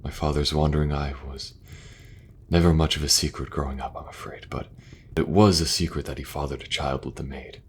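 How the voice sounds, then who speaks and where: A man acting as a benevolent king and brother in a fantasy audio roleplay, speaking in an earnest, measured way. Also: very deep voice, fairly even intonation, breathy edge, exaggerated voice. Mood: grave, earnest.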